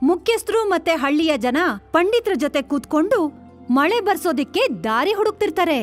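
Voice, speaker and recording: Very high-pitched woman narrating stories. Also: lively intonation, a little fast, soft background music. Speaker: story narrator. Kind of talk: scripted children's story narration.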